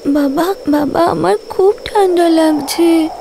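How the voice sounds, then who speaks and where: A woman's voice: very high-pitched, slow pace, gravelly, dark tone, a little hiss or hum, pitched above the performer's own voice, full character voice. A woman voicing a hungry, pleading five-year-old village girl in a cartoon moral story. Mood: shivering, pleading. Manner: plaintive, pleading to father.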